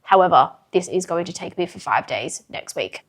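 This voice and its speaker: A woman's voice: lowish voice, expressive, moderate pace. A young woman with a congested, sick-sounding voice, chatting frankly and upbeat through a cooking tutorial in her home kitchen. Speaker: fitness vlogger.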